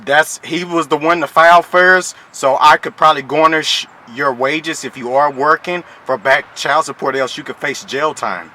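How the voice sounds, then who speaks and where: A man's voice: a little high, fairly even intonation, moderate pace, few pauses, sharp tone. A man playing a stern, threatening child support official on a prank phone call, pushy and formally polite. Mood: stern, pressing. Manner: pushy, mock-official, repeatedly polite "ma'am". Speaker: prank caller. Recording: phone call with faint noise.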